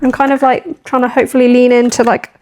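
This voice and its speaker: Gal vlogging about fitness, bit croaky and husky, chatty, goes off on tangents. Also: female voice, a little high, little pitch movement, fast talker, darkish tone. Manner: chatty and upbeat, rambling with asides. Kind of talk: casual talking-to-camera vlog.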